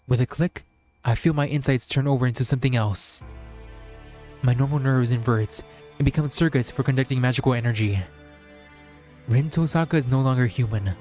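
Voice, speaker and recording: A man's voice: medium pitch, darkish tone. Man solemnly narrating over music. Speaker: streamer reading a visual novel aloud. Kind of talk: dramatic scripted narration, reading aloud. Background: background music.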